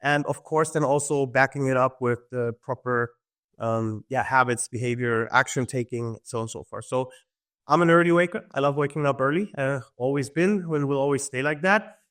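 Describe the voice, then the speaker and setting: A man's voice: medium pitch, moderate pace, fairly bright tone. A man, a podcast guest talking in an easygoing way with frequent chuckles and fillers. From Germany. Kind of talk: casual interview talk.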